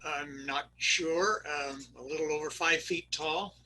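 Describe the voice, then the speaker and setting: A man's voice: on the high side, fairly even intonation, a little slow, sharp tone, full character voice, low-quality recording. A man playing a flustered middle-aged husband who hems and haws about his missing wife, read over a video call for a readers theater skit. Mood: vague. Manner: hesitant and vague about his wife, then eager and detailed about his truck.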